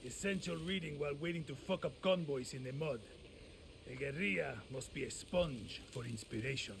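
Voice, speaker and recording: An actor playing a crude, boastful older guerrilla veteran in a video game cutscene, cursing and joking about danger. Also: male voice, on the high side, normal speed, noisy background. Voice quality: gravelly. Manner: profane, swaggering, laughs at danger.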